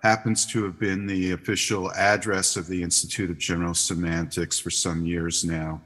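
A man hosting a podcast, asking interview questions in a measured, hesitant way with frequent uhs. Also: sits low, little pitch movement, a bit bright, long reverb tail.

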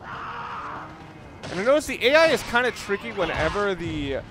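A man giving unscripted gameplay commentary, explaining things in a halting, analytical way. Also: high voice, wildly swooping pitch. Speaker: let's play commentator. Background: video game sounds and music.

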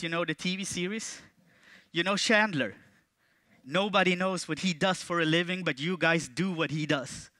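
Swedish man in his thirties giving a jokey stage talk; high, clear voice, close and dry on a headset mic. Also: no room sound. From Sweden. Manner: playful, jokey, with animated jumps in pitch and volume. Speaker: conference speaker. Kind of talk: stage presentation. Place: large dark auditorium stage with audience.

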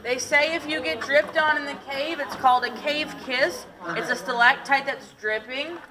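A woman's voice: high-pitched, steady tone, moderate pace, crowd noise. Chatty giggly lady tour guide telling jokes, kinda far from the mic, other people talking around her. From Detroit, Michigan; raised partly in Tennessee. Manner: joking, giggly, talkative. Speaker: cave boat tour guide. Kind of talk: casual chatty storytelling and tour commentary. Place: boat on an underground lake in a cave. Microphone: passenger's camera at some distance.

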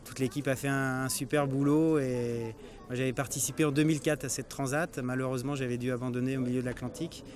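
A man, a co-skipper, interviewed outdoors in a harbour at night, talking in a halting, pleased way. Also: medium pitch, fairly even intonation, a little mellow, a little hiss or hum.

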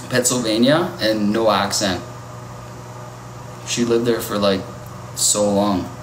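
A man on a casual livestream, chatting and reacting to his viewers' comments. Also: fairly low pitch. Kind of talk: casual live-stream chat. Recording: echoey with loud ambient noise.

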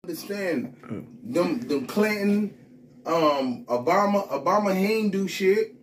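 A middle-aged man bragging and riffing in loud, profane banter inside a small vending room, filmed on a phone. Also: high-pitched, expressive, takes it slowly, far from the mic, a little hiss or hum. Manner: loud, brash, profane hype talk with laughter. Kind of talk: boastful rambling banter.

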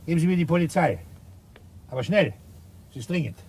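An actor playing a shrewd, sardonic, dogged police inspector in a German radio crime drama, commanding and dry with muttered asides. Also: male voice, on the high side, animated, brisk, a little mellow, breathy edge. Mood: probing.

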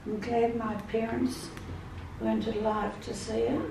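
A woman's voice: fairly high pitch, little pitch movement, measured pace, creaky, mellow. An older woman, chatting casually as a patient during treatment. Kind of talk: casual chat. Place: indoor treatment room. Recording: very echoey room, distant from mic, noisy.

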